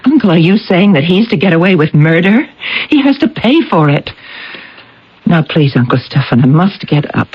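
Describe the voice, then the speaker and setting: An actress playing a composed, vengeful countess in a radio play, speaking with polite, deliberate calm. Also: female voice, lowish voice, breathy edge. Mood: resolute. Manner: polite, deliberate, matter-of-fact. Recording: muffled old radio drama recording.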